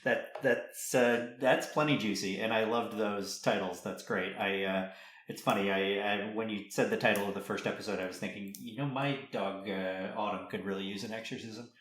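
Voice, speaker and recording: A man hosting a casual interview, with an easygoing, playful and slightly halting way of talking. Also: lowish voice, steady tone, a bit bright. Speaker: podcast interviewer. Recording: roomy, echoing voice pickup.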